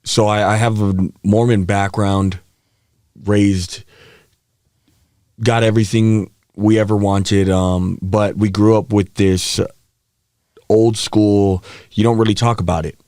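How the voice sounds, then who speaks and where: A man around thirty who grew up in Hawaii, a podcast guest telling stories from his life in a relaxed way, laughing often and getting animated as the story builds. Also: fairly low pitch, slow, long pauses, dry recording, studio quality. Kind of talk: candid storytelling interview. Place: podcast studio. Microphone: studio mic.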